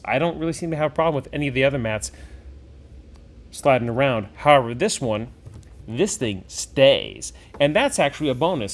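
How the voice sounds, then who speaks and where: Man, medium pitch, casual hands-on reviewer, chatty, thinking out loud, hobby gaming host. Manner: conversational, thinking aloud. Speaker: host of a tabletop gaming product review channel.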